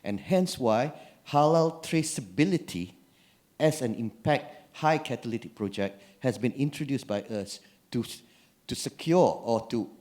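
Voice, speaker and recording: A man's voice: medium pitch, darkish tone. A man speaking as a government panelist, often repeating words as he searches for them. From Malaysia. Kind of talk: panel discussion.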